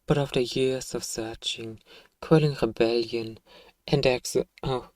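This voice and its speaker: A man playing a self-righteous evil emperor, softly and coaxingly telling a bedtime story to a child in an ASMR roleplay. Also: medium pitch, steady tone, a little slow, no echo, close to an everyday voice. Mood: smug soothing.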